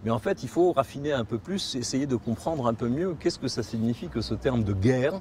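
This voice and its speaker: Thoughtful, halting man recalling his life. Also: mid-range voice, expressive, darkish tone, slight background noise. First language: Spanish. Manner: thoughtful, halting, repeats and rephrases. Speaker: interviewee. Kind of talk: reflective documentary interview.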